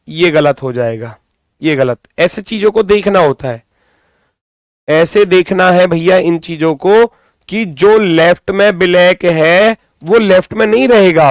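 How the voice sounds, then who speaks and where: Male coaching teacher, higher-pitched, recovering throat, dry close sound, informal and directive, repeats key phrases. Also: no echo. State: strained throat, recovering from lost voice. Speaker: reasoning teacher for exam coaching. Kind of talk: live class lesson, thinking aloud.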